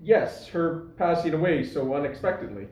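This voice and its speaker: Man acting as suspicious police constable, high male voice, formal, indignant, easily bewildered, stage farce, roomy echo. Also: lively intonation, normal speed, a little mellow, close to an everyday voice. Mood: sympathetic. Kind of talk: stage farce play reading.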